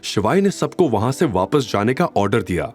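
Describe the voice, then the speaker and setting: Animated male story narrator. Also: fairly low pitch, normal speed, soft background music. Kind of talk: dramatic scripted story narration.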